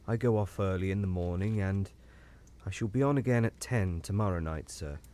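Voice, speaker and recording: A man voicing a haunted, hushed railway signalman. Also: moderate pace, natural voice. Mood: troubled. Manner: low, hushed, grave speech. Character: troubled lonely male railway signalman. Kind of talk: audiobook character reading.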